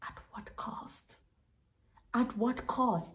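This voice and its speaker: A woman's voice: on the high side, very slow, fairly bright tone. A woman giving political commentary to camera, rhetorical and emphatic, firing questions at the viewer. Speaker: political video creator. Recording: echoey room.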